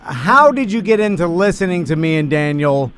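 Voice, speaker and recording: A man co-hosts a loose radio chat, laughing easily and running himself down for laughs. His voice sits high, with a faint hiss in the background. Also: expressive, normal speed. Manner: self-deprecating, laughs often. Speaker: radio show co-host. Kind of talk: casual chat.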